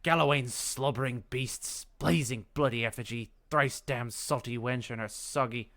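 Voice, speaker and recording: A man's voice: medium pitch, normal speed, a bit bright, no echo, pitched above the performer's own voice, close to an everyday voice. Man putting on an angry, blustering rustic farmer. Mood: furious cursing. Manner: blustering, cursing. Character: angry, foul-mouthed rustic pig farmer.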